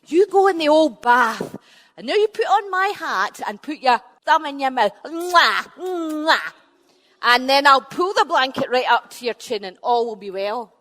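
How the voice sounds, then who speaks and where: Woman doing a reassuring giantess wife in a folk tale, gentle and bright. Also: high voice, expressive, moderate pace, in a large hall. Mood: soothing. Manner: soothing, reassuring. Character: calm clever giantess wife.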